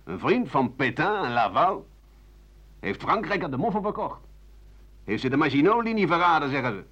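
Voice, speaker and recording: An actor voicing sneering, spiteful townsfolk gossiping in a radio play. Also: male voice, on the high side, big pitch swings, normal speed, a bit bright, voice pushed up. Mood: scornful gossip. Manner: spiteful, accusing. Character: sneering gossiping townsfolk, mocking the old doctor. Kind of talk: 1980 Dutch radio play. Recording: muffled old radio broadcast recording.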